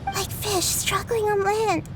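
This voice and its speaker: A woman voicing a gentle, earnest young girl god of wisdom in a video game, solemn and pleading. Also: extremely high pitch, steady tone, takes it slowly, background noise. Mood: solemn, sad. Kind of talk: video game dramatic acting.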